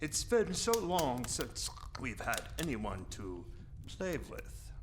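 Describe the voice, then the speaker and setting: An actor playing a courtly, menacing vampire in a comic Halloween radio play. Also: male voice, mid-range voice, very animated, takes it slowly, background noise. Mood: politely menacing. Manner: theatrically menacing. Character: menacing, courtly cloaked male vampire with fangs.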